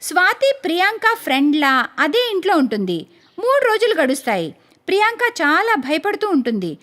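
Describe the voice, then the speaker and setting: A woman narrating a cartoon moral story in a lively storytelling manner. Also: very high-pitched, brisk.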